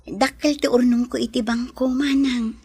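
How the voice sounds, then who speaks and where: A woman's voice: on the high side, steady tone, normal speed, warm tone, breathy edge. A woman with an Ilocano accent playing a sincere, determined well-off woman in love in an audio drama. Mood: tender, determined.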